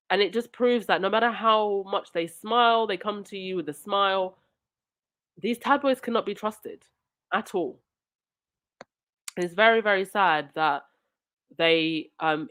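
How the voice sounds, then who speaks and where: A woman's voice: fairly low pitch, a bit bright. A woman hosting a live chat stream, thanking viewers and reading comments, indignant at times, with a stuffy nose from a cold. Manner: indignant, talks back to chat and supporters. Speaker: livestream host.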